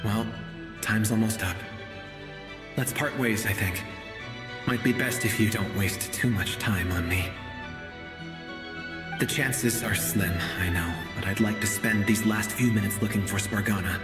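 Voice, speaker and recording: An actor playing a gentle, resigned man from an ancient age, speaking hesitantly and politely in video game dialogue. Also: on the low side, a little slow, long pauses, reverberant, big-room sound, music in the background, lightly acted. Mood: wistful farewell.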